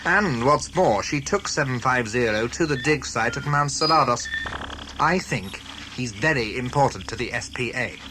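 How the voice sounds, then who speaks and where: A man's voice: mid-range voice, animated, moderate pace, lightly acted. An actor playing a keen, suspicious male intelligence officer in an audio drama, briefing in a clipped, official manner. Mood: keen, businesslike. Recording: clear noise behind the voice.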